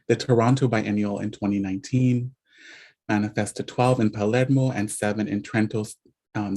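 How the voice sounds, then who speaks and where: A man's voice: medium pitch, a little mellow. Man formally reading a speaker's credentials. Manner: formal listing of credentials, occasional filler. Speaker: introducer of the speaker.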